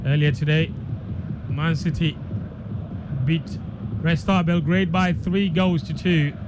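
A man's voice: fairly high pitch, fairly even intonation, takes it slowly. A man giving live football commentary on the radio. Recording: clear background noise, stadium ambience.

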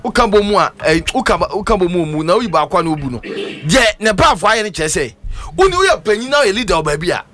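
Bright, high-voiced emphatic Ghanaian man. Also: sing-song, quick pace. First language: Twi. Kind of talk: casual news discussion.

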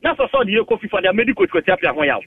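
A Ghanaian caller argues his case on a radio phone-in, repeating himself and stumbling through the point. His voice is very high and lively, squeezed through a dry, tinny phone line from some distance. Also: a little hiss or hum. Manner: argumentative, halting, repeats himself, mixes Twi and English. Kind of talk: heated opinion on a radio call-in.

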